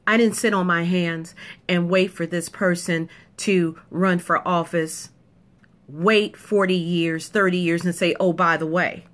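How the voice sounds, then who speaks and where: A woman's voice: on the low side, fairly even intonation, unhurried. A woman delivering an emphatic, punchy opinion monologue to camera, repeating points for effect. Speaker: video commentator.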